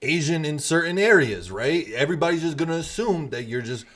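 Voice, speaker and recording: A man's voice: on the high side, lively intonation, brisk, a bit bright. A man co-hosting a podcast, talking bluntly and emphatically in a casual debate, swearing freely. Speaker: podcast co-host.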